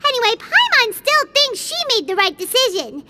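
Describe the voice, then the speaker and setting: Brief: a tiny excitable fairy sidekick for a game, very high and lively, third-person talk. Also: female voice, heavy background noise. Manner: refers to herself in third person; excitable, quick to exclaim. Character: tiny chirpy female fairy companion. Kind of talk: video game cutscene voice acting. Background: game music soundtrack.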